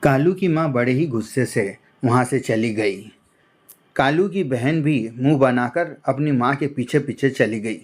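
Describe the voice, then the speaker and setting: A man's voice: medium pitch, unhurried. A man narrating a scripted children's-style cartoon story as its storyteller.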